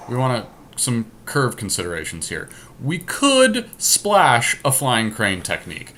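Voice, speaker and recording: A man's voice: mid-range voice, wildly swooping pitch, unhurried, sharp tone, slight background noise. A man giving casual strategy commentary as a podcast host during a card game draft, deliberating aloud as he goes.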